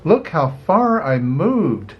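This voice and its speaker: A man's voice: on the high side, big pitch swings, slow, muffled recording, voice pushed up. An older man voicing a kind, playful young female ant princess in a children's storybook, with a friendly, girlish manner. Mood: cheerful.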